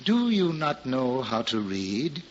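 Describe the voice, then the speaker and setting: An actor playing a devout old pilgrim in a 1940s radio drama, earnestly counselling in solemn, measured, old-fashioned speech. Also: male voice, medium pitch, big pitch swings. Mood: earnest counsel. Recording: muffled 1940s radio recording, faint noise.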